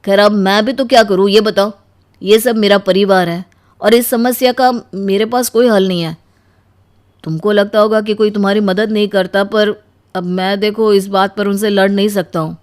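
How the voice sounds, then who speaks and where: Woman as young husband, mid-pitched for a female voice, grumbling, reproachful, cartoon story dialogue. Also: fairly even intonation, moderate pace, close to an everyday voice. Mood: helpless. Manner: complaining, reproachful. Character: grumbling, self-concerned young husband, newly married office worker.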